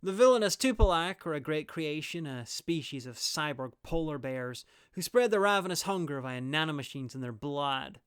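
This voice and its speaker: A man's voice: fairly high pitch, a bit bright, clean tone. A man narrating a scripted review in a wry manner.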